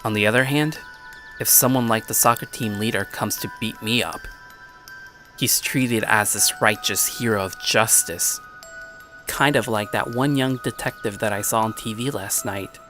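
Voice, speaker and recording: Teenage schoolboy narrator played by a man, bitter, brooding, bright forceful voice, dramatic first-person storytelling. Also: medium pitch, normal speed, faint music behind, close to an everyday voice. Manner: brooding, self-pitying. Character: bitter, downtrodden teenage schoolboy telling his own story.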